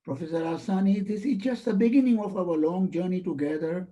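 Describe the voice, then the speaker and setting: A man whose first language is Swahili delivers a formal vote of thanks in a ceremonious manner. His voice is strikingly bright and sits a little high for a man. He speaks over a webinar call. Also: a little fast, dull audio. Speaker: vice chair giving vote of thanks.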